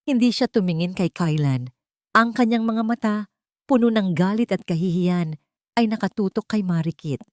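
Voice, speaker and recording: A woman's voice: medium pitch, normal speed, darkish tone, no echo, studio quality. A woman narrating a scripted story in a dramatic storytelling style.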